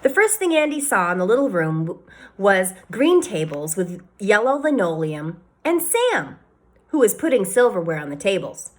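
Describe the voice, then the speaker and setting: A woman teacher reading a children's novel aloud, expressive, sometimes speaking to her listeners. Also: mid-range voice, moderate pace.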